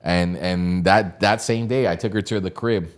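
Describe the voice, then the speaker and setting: A man's voice: low voice, fairly even intonation, moderate pace. Laid-back slangy man in his thirties. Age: 30s. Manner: laid-back storytelling, laughs often, heavy slang. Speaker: podcast co-host. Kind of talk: casual chat.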